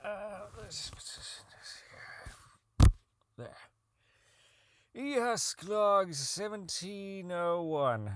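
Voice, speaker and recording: Man acting a bitter, rambling, high-voiced engineer. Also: animated, no room sound, studio quality, full character voice. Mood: irritated, awkward. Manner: rambling, halting, profane, self-deprecating. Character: socially inept, bitter, unravelling male robotics engineer. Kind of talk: audio drama monologue as a recorded personal log.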